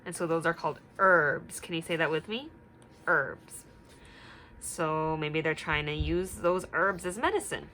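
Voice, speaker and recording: Expressive, bright-voiced woman reading to children. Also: deep voice, a little slow, a little hiss or hum. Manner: expressive, simple explanations for young children. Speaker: teacher reading aloud. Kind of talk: children's read-aloud with questions to listeners.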